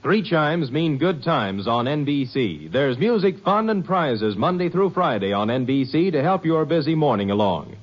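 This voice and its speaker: A man's voice: a little high, big pitch swings, moderate pace, hardly any pauses. Upbeat old radio promo man. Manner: upbeat, polished. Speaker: network promo announcer. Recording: dull old radio transcription.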